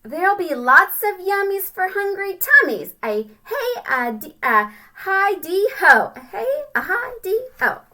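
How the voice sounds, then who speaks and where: A woman voicing cheerful hungry ants in a storybook, chanting in a drawn-out sing-song as they march. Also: extremely high pitch, sing-song, sharp tone, voice pushed up, full character voice. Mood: merry, chanting.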